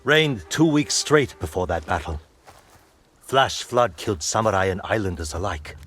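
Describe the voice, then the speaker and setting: An actor playing a wary, hard-bitten island raider leader in a video game, with a rough, husky voice and a blunt, challenging manner. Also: male voice, deep voice, lively intonation, normal speed, a bit bright. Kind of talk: dramatic video game cutscene acting.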